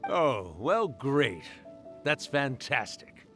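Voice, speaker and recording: An age-old yokai storyteller from a video game, playful and affectionate. His mid-range voice swoops theatrically with a teasing drawl, over soft music. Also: medium pitch, sing-song, very slow, full character voice. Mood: fond.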